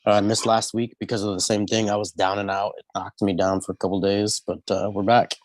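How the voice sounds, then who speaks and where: A man's voice: lowish voice, flat delivery, a little fast, no room sound. A man co-hosting a casual video-call chat. He is laid-back, sounds congested from a cold, and keeps saying "man" and "stuff like that". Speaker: host.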